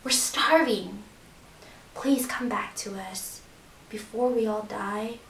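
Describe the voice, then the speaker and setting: A woman's voice: mid-range voice, slow, fairly bright tone, slight background noise. A woman voicing a brave male rooster in a children's story, speaking earnestly. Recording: roomy echo, distant mic.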